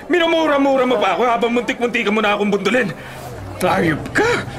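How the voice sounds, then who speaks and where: An actor playing an angry Filipino delivery rider spoiling for a fistfight, confrontational, in radio drama style. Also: male voice, extremely high pitch, big pitch swings, fast, a crowd in the background. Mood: furious. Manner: shouting, confrontational.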